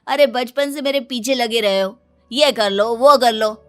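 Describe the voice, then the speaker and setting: A woman's voice: on the high side, measured pace, faint music behind, close to an everyday voice. A woman voicing a put-upon, whining young adult son in an exaggerated comic story style. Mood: sarcastic, exasperated.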